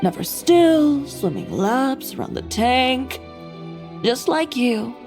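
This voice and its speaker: A woman playing a cool, composed, stylish young woman in an anime video game, measured and dryly teasing. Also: high voice, expressive, a little mellow, full character voice. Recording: faint music behind.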